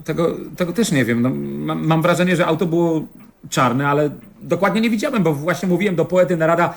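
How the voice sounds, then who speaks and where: Radio play casting: man to play a timid, apologetic eyewitness, lightish high register, halting and stammering, very deferential. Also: lightly acted. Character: meek, apologetic, flustered male eyewitness. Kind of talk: radio drama acting.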